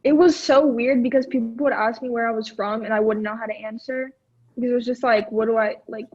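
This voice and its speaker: A woman chatting casually with friends, relaxed and rambling with many fillers. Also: on the high side, a little fast, lo-fi sound. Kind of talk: casual chat among friends.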